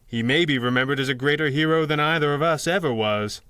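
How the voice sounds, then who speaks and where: An actor playing a calm, principled masked hero in a 1930s-style radio drama, with measured and formal diction. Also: male voice, a little high, clear, resonant tone. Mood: wry, relaxed. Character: calm, principled masked crime-fighting hero, adult man.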